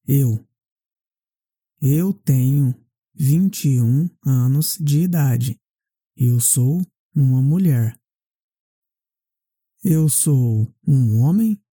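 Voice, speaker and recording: Woman doing a language drill, super slow careful phrases, low voice, really dry sound. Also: warm tone, no echo. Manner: deliberate, carefully separated phrases. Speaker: language teacher. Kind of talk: slow, repetitive question-and-answer language drill.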